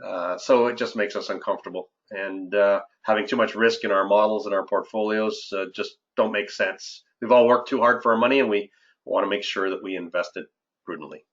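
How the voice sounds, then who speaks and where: A man giving measured, somewhat halting financial market commentary on a conference call as an investment adviser. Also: fairly low pitch, fairly even intonation, a bit bright. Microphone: conference call line. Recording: dull, lo-fi conference call audio.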